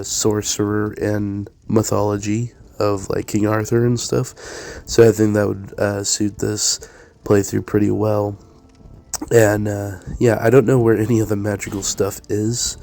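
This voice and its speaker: A man casually commenting on a game as he plays, hesitant and full of fillers, his voice raspy and stuffed up. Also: fairly low pitch, little pitch movement, a little slow, mellow. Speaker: let's play gamer.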